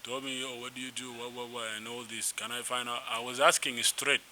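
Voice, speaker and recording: A young man from South Sudan, a musician being interviewed, telling his story in a rambling, animated way with restarts. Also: medium pitch, a little fast. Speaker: musician guest. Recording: noisy background.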